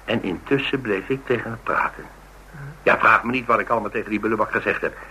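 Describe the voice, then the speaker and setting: Tough dry-witted man in a radio play, low sharp voice, tells stories casually, bit of noise. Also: quick pace, low-quality recording. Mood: grim relish. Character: rugged, blunt man recounting his exploits with dry humour. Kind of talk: Dutch radio drama dialogue, conversational storytelling.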